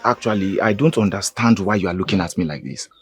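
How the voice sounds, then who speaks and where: A man's voice: lowish voice, fast, soft background music, close to an everyday voice. An actor playing an anxious young man who protests his innocence to his friends. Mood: defensive.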